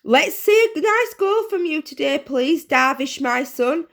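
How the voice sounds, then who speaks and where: A woman voicing a proud, gushing, loud mum of a schoolboy footballer in a children's story. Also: high-pitched, animated, a little slow, gravelly, pitched above the performer's own voice, exaggerated voice. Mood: proud cheering. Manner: gushing, shouting encouragement.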